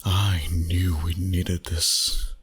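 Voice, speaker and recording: A flirty, commanding male vampire played by a man for an intimate ASMR roleplay. His voice sits very low and comes out husky and breathy. He takes his time, teasing softly and tenderly with slow, seductive phrasing. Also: level tone, exaggerated voice. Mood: hungry, seductive. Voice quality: breathy, husky, often near a whisper.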